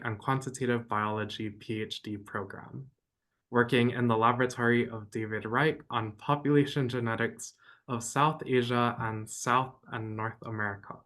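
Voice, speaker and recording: A man hosting a program, reading a formal speaker introduction at a measured pace over a video call. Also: medium pitch, a bit bright.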